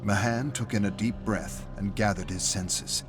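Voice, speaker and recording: A man's voice: fairly low pitch, a little slow. A man reading scripted story narration in a dramatic style. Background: music.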